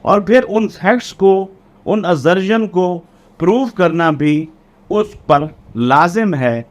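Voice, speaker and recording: A man with a Pakistani accent giving an explanatory lecture as a teacher, deliberate, repeating and rephrasing key phrases. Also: high voice, expressive. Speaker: law lecturer. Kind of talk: explanatory exam lecture, code-switching between Urdu and English legal terms.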